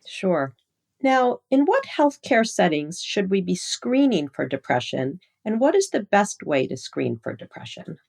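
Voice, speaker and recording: A woman's voice: mid-range voice, very animated, measured pace, creaky, a little mellow. A woman hosting a podcast, putting scripted interview questions in a formal, professional way.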